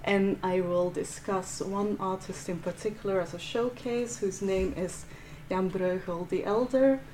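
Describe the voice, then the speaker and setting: A woman from Flanders with a Flemish accent, a museum curator giving a measured art history lecture with frequent restarts. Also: mid-range voice, fairly even intonation, a little mellow, slight background noise, low-quality recording. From Belgium (Flanders). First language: Dutch. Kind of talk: prepared lecture.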